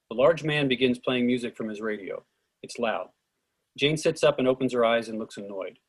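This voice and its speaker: A man reading stage directions in an even, measured way for a script reading. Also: mid-range voice, breathy edge, low-quality recording.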